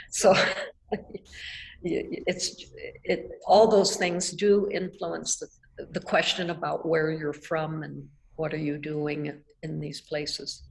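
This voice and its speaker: An older woman from Nebraska, answering questions in a reflective, measured way over a video call. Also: lowish voice, gravelly, low-quality recording. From Nebraska, United States. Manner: reflective, measured, with soft laughs. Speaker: artist guest. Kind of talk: thoughtful conversational answers. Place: art gallery, indoors. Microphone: video call webcam.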